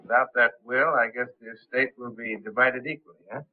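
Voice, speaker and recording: An actor playing a sly, scheming gang boss in a 1930s film. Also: male voice, medium pitch, fairly even intonation, brisk, close to an everyday voice. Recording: tinny, band-limited 1930s film soundtrack.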